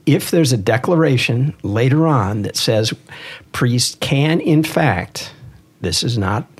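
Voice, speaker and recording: A man with a mid-pitched voice that has a slightly husky edge. He talks as a priest co-hosting a religious podcast, in earnest discussion. He presses his points emphatically and repeats key phrases. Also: expressive, takes it slowly.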